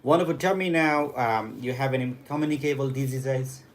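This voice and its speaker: Man in a mid register running a mock visa interview, brisk and direct, faint room hum behind. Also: medium pitch. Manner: brisk, direct questioning with quick approvals. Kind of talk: role-played interview questioning.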